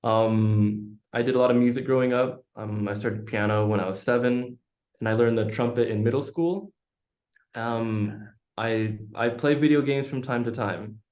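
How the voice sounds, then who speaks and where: A young man from Utah speaking as a guest in a casual interview. He answers thoughtfully and at a measured pace. His voice sits in the middle of the range and carries a noticeable room echo. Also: medium pitch, a little mellow. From Utah, United States. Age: young adult. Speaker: podcast guest. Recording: echoey room.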